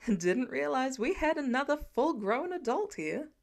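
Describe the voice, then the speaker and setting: A woman's voice: high voice, very animated, unhurried, creaky, full character voice. A woman voicing a sarcastic, protective father with a dry, teasing delivery in an audiobook story. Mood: sardonic teasing.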